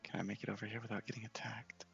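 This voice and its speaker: A man giving casual, understated ASMR gameplay commentary in a breathy half-whisper close to the mic. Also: lowish voice, level tone, rapid-fire, fairly bright tone, lo-fi sound, faint music behind. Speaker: video game player and host.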